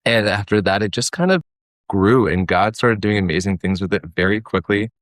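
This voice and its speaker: Low-voiced man telling stories casually. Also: a little fast, no echo, clean studio sound. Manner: informal, peppered with "like" and quoted dialogue. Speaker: podcast guest, actor and audio drama producer. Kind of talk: casual conversational storytelling.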